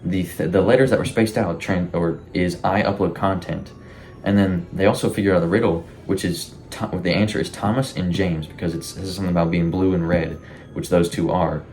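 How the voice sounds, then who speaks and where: A man giving casual, rambling, informal commentary as a YouTube host, with animated reactions. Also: low-pitched, brisk, a little hiss or hum. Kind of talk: casual commentary on a horror series.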